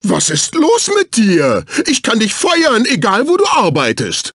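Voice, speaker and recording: A man's voice: high voice, huge pitch swings, unhurried, fairly bright tone, husky, dry recording, studio quality, heavily acted. A male actor voicing a fussy, snobbish, servile executive assistant in a dubbed cartoon video game, with a prissy, condescending, exclamatory delivery. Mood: indignant, haughty. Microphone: close studio microphone.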